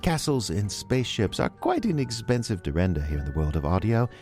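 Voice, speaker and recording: Man putting on low, theatrical British presenter. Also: sing-song, a little fast, a little mellow. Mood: wry, theatrical. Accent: put-on British. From United States. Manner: wry, pompous, mannered. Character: pompous, wry British gentleman presenter. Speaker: podcast host. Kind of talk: theatrical scripted narration. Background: music.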